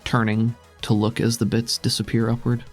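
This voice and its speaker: A man's voice: medium pitch, monotone, moderate pace, soft background music. A man narrating a tabletop game as game master, in descriptive, dramatic storytelling.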